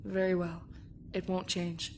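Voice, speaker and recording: A woman's voice: fairly low pitch, monotone, a little slow, darkish tone, slight background noise. A woman playing a composed, understanding admiral in a sci-fi anime parody. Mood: reassuring.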